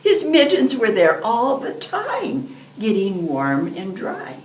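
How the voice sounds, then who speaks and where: An elderly woman reading a picture book aloud to children in an expressive, deliberate storytelling manner. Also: a little high. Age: 70s or older. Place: indoor room, seated. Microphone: camera a few feet away. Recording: roomy, distant microphone, background hiss.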